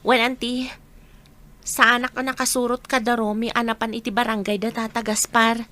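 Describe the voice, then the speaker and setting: A spirited young niece in a radio drama. Her high, sharp voice carries a northern Philippine accent. She is animated and direct. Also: normal speed. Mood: cheerful.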